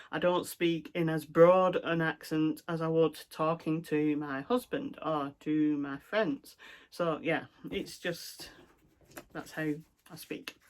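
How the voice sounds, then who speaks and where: Chatty woman with broad Lancashire accent. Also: deep voice. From North West England. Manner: chatty, many ums and trailing-off asides. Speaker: cross-stitch vlogger. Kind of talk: casual show-and-tell chat.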